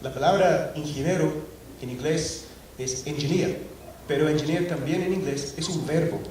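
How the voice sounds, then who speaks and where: Halting man speaking in a big echoey hall. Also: on the high side, darkish tone, distant mic, a little hiss or hum. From Scotland. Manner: hesitant, many fillers and restarts. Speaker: conference speaker. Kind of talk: technical conference talk. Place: large auditorium. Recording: echoey hall recorded from afar.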